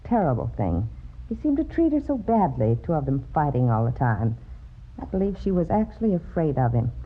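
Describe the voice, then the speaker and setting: Low, expressive, prim landlady. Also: brisk, slightly rough, full character voice. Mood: lamenting. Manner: chatty, prim, lamenting. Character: gossipy, disapproving middle-aged female landlady. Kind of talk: 1950s radio drama acting. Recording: muffled old radio recording, faint hiss.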